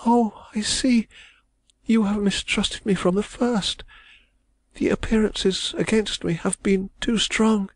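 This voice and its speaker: A man's voice: high-pitched, steady tone, takes it slowly, dry recording, voice pushed up. A man reading a novel aloud, voicing an anguished, proud young woman who pleads and trembles as she speaks. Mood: despairing. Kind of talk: audiobook character voice.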